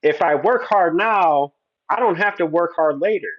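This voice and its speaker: A man's voice: fairly high pitch, normal speed, lo-fi sound. A man in his forties, a guest on a livestream, talking earnestly and at length with many restarts. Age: 40s. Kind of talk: opinionated conversation.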